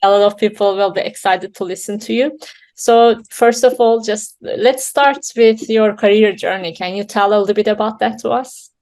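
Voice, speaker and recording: A woman's voice: medium pitch, moderate pace, darkish tone. A woman hosting an interview, friendly and chatty, with many fillers. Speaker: interview host. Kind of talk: casual interview.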